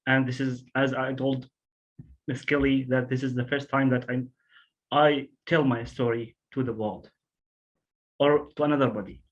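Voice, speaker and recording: A man, telling his personal story slowly and formally over a video call. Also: mid-range voice, level tone, highs cut off. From Syria. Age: 20s. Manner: slow, careful, formal. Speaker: storyteller guest.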